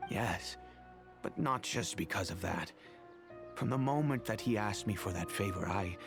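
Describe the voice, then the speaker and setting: An actor playing a regretful middle-aged merchant and father in a video game, speaking in a measured, hesitant, confessional way. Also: on the low side, expressive. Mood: grave, explaining. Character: regretful older wealthy merchant and father, grave and earnest. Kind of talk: dramatic video game dialogue. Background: game background music.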